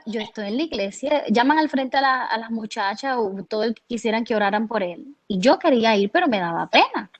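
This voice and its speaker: A woman from Cuba with a Cuban accent, telling her personal faith story in an earnest, halting way, often restarting her words. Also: on the high side, dull audio. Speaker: guest sharing her testimony.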